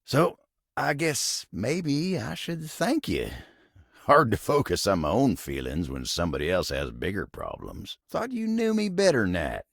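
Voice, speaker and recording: Low, gravelly Southern workhorse. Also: male voice, huge pitch swings, a little slow, fairly bright tone, no echo, studio quality, full character voice. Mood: bashful, earnest. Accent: American Southern. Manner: folksy drawl, courteous, plain-spoken. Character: living wooden table, folksy, gruff, dependable, bashful working man. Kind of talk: video game character acting.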